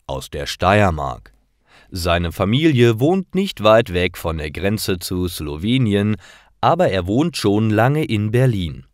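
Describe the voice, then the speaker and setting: A man narrating short scripted stories for language learners, with careful, deliberate enunciation. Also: deep voice, no room sound, clean studio sound.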